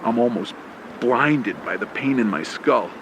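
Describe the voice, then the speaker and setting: A man narrating a scripted first-person horror story in old radio play style, with a dramatic, halting delivery. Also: medium pitch, expressive. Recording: clear background noise with ambience and vehicle sounds. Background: rain, ambience and vehicle sound effects.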